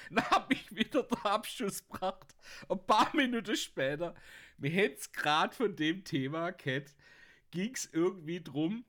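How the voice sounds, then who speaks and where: A man's voice: sits high, sing-song, slow pace, sharp tone. A young man telling rambling anecdotes casually, often laughing at his own stories. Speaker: podcast host.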